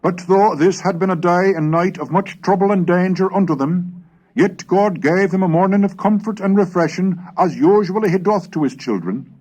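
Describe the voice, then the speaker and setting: A man reading scripted historical narration in a formal, measured, expressive manner, as the narrator of a recorded lesson. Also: sits high, muffled recording.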